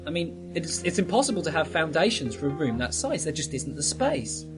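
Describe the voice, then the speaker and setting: A performer plays a flustered village sceptic in a sci-fi radio play. The delivery is very animated, hesitant and exasperated, with music clearly underneath. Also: medium pitch, a little fast, lightly acted. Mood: sceptical. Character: flustered, sceptical local helper at a church. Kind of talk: sci-fi radio drama acting.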